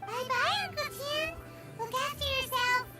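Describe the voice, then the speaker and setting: A woman voicing a small, chirpy fairy-like girl companion in a video game, excitable and blunt. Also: very high-pitched, unhurried. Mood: cheery. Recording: game audio under loud music. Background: game music.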